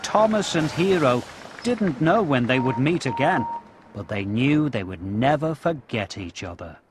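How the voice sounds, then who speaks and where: Animated male children's storyteller, unhurried pace. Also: medium pitch, background noise. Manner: expressive, simple storytelling addressed to small children. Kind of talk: scripted storybook narration with interactive prompts for young children.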